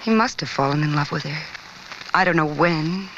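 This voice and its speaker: An actress playing a gentle, worried woman at a sickbed, soft and earnest, in 1940s radio drama style. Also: deep voice, moderate pace, background noise, close to an everyday voice. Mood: anxious, subdued. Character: gentle, worried woman at a wounded reporter's bedside. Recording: old muffled radio recording, music underneath.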